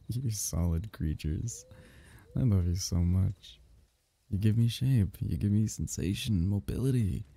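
A man voicing a possessive, soothing alien symbiote in an ASMR roleplay, speaking in a soft, coaxing, intimate way with breathy drops into whisper. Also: low-pitched, no room sound, heavily acted. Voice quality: husky, breathy, often dropping into whisper. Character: possessive, soothing alien symbiote, male, semi-liquid creature.

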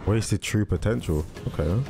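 A man's voice: fairly low pitch, moderate pace, vocal fry. A young man giving casual, slangy reaction commentary, musing aloud into a close microphone. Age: young adult. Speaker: reaction video host. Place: small indoor room at a desk. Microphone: close microphone on arm, headphones on. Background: music from the cartoon intros being watched.

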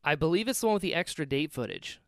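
A man's voice: fairly high pitch, big pitch swings, fast talker, vocal fry. A man from North Carolina who grew up in Florida, hosting a podcast in a playful, laughing chat. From North Carolina, raised in Florida. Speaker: podcast host. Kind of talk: casual interview chat. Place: at home in Florida.

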